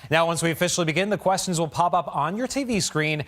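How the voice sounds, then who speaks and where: A man's voice: on the high side, fast talker, fairly bright tone. A man hosting a trivia game show in an upbeat, scripted, promotional announcer style. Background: music bed.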